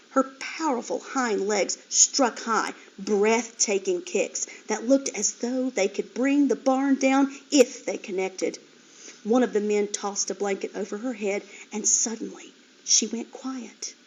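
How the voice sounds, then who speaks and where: A woman's voice: mid-range voice, unhurried. A woman teacher reading a children's chapter book aloud with expression. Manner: expressive, animated. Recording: dull lo-fi recording.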